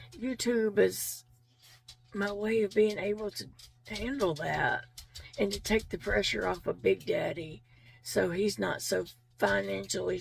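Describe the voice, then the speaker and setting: A woman vlogger whose voice has gone raspy and is fading, with a strong gravelly fry in every phrase. She talks earnestly and thankfully to her viewers, circling back on her words with easy fillers. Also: mid-range voice. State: losing her voice. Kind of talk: casual heartfelt chat to viewers.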